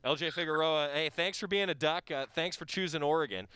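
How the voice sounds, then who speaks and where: A man's voice: on the high side, a little fast, sharp tone, smooth, no echo. A podcast host interviewing a college athlete, upbeat and enthusiastic, often stumbling over how he starts a sentence. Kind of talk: friendly sports interview.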